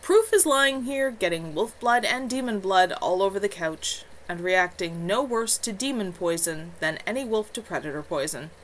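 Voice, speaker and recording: A woman reading as a calm, reassuring man. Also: mid-range voice, normal speed, hardly any pauses, slight background noise, natural voice. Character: calm reassuring male healer.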